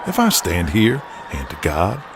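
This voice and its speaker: A man voicing a cocky, smirking male wrestler in an audio drama, laying on grandiose, drawn-out flattery. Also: deep voice, noisy background, full character voice. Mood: smug flattery. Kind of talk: audio drama character acting.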